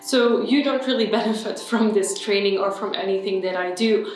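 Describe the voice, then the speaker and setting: A woman's voice: mid-range voice, normal speed. Echoey woman vlogging. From Belgium. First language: Dutch. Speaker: YouTuber. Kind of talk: personal vlog talk to camera. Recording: very echoey room with faint music.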